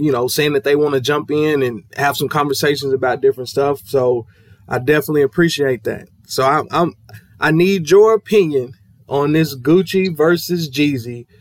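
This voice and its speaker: A man from Kentucky hosting a podcast, talking in a relaxed, conversational way. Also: mid-range voice, no echo. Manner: laid-back, conversational with fillers. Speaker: podcast host. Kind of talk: casual podcast chat.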